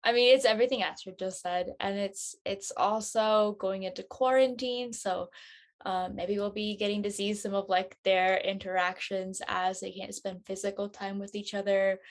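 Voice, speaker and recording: A woman's voice: medium pitch, steady tone, measured pace. A woman, an actor introducing herself casually, speaking in a tentative, informal way as she thinks aloud. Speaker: actor in a play reading.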